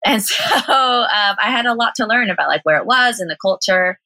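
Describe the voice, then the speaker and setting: A woman's voice: a little high, expressive, fairly quick, bright, forceful tone, no echo. A young woman from Southern California with an American accent, chatting casually as an interview guest, laughing often and using lots of "like". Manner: chatty, laughing, many "like" fillers. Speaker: guest, vegan cafe owner.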